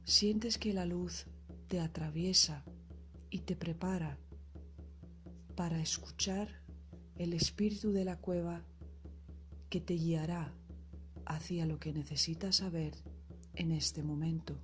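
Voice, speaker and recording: A woman narrating a scripted guided meditation in a soothing, soft, breathy and unhurried manner. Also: fairly low pitch, steady tone. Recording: loud percussive meditation music behind voice.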